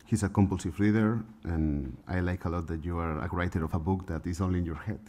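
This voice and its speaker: Deep, dark-voiced male panel moderator. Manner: halting, non-native phrasing with fillers. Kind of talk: introducing panelists at a conference. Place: conference room on a panel stage. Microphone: table microphone.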